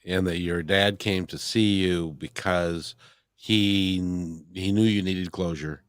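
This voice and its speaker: A man's voice: deep voice, steady tone, takes it slowly, dry recording, studio quality. A man hosting an interview, speaking in an earnest, firm and reassuring way. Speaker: interview host. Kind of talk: casual interview chat.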